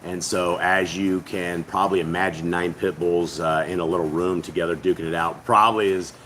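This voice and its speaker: A man hosting a family faith channel who speaks to viewers in a casual, earnest, rambling way, his voice hoarse and partly lost. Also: low voice, steady tone, moderate pace, fairly bright tone, slight background noise. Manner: earnest, rambling, with many hesitations. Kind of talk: casual spoken address to viewers.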